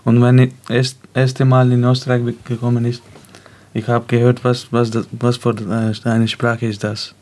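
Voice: medium pitch, completely flat delivery, moderate pace, a little mellow. A nineteen-year-old from Afghanistan, a native Punjabi and Pashto speaker, talking casually about personal experiences. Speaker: youth radio participant.

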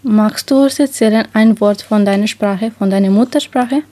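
A woman's voice: medium pitch, normal speed, dark tone, no room sound. A twenty-year-old woman from Romania moderating a group talk on the radio. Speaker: radio show host.

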